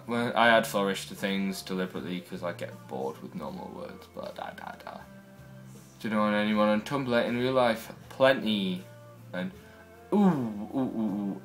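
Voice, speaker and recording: A young man with a Newcastle accent, chatting wryly and rambling through his first video blog. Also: lowish voice. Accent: Newcastle Geordie. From North East England. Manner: chatty, wry, rambling asides. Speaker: vlogger.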